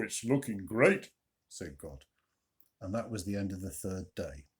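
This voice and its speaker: Husky man reading expressively to children. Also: medium pitch. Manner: expressive, dramatic emphasis and pauses. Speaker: storyteller, reader. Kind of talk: children's Bible story narration with questions to listeners.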